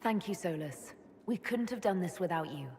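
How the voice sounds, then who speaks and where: Fantasy game role: confident heroic leader, lowish female voice, assured and hopeful, reverberant space. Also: expressive, normal speed, darkish tone. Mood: earnest. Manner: assured, plain-spoken. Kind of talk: dramatic fantasy video game acting. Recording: hall reverb, faint noise.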